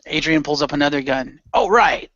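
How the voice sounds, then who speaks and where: A man improvising lines and narration in a radio play with a casual, animated delivery. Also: on the high side, moderate pace, no echo. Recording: dry, dull lo-fi microphone.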